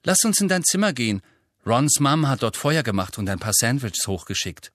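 A male narrator voicing a brisk, sensible, bossy teenage schoolgirl with a lecturing manner in an audiobook. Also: mid-range voice, lively intonation, fairly bright tone, dry recording, clean studio sound, pitched above the performer's own voice. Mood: brisk, cheerful.

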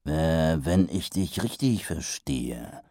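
A man voicing a weary, gloomy male watch captain who speaks slowly with dry sarcasm. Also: very deep voice, darkish tone, dry recording, pitched below the performer's own voice. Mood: dry skeptical. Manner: slow, dry and sardonic.

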